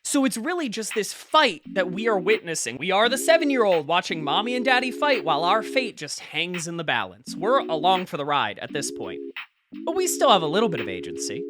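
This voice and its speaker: An earnest conspiracy podcast host played by an actor speaks in a mid-range voice with a crackly fry. The delivery is wry and conversational, treating absurd theories with mock seriousness. Intonation moves widely, leaning hard on key words. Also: mid-range voice, very animated, a little fast, crowd noise. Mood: urgent, conspiratorial. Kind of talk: podcast monologue, conspiratorial rant.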